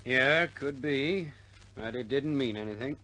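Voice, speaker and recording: An actor playing a blunt but kindly military doctor in a war radio drama, with a dry, matter-of-fact bedside manner. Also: male voice, medium pitch, measured pace, pushed and bright, low-quality recording. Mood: subdued, blunt.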